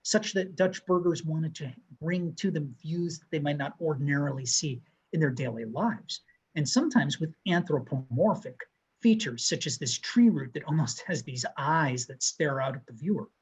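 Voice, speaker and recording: A man in his thirties co-hosting a museum talk over a video call, walking viewers through artworks in a measured, descriptive way. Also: on the high side, animated, breathy edge, rough recording. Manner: measured, descriptive, pointing out details. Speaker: museum co-host presenting artworks. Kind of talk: art history talk over slides. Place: room with bookshelves.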